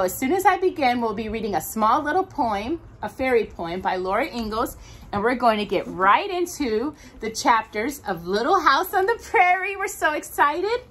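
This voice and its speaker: Woman, children's storytime host, slow-paced, very animated, sing-song. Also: on the high side, husky. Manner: expressive, sing-song, drawn-out delivery. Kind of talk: children's storybook read-aloud and chat to young viewers.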